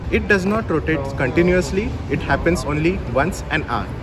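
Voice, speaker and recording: A man's voice: fairly high pitch, animated, normal speed. A man, giving practical information in a travel vlog. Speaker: travel vlogger. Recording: music bed under the voice.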